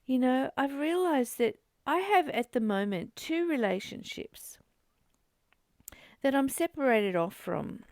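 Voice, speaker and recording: A high female voice with plenty of fry in it, recorded very dry and close. She leads a reflective spiritual discussion, open and confiding. She often repeats a phrase to give it weight. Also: lively intonation, a little slow, a little mellow, no room sound. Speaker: host of a spiritual book study.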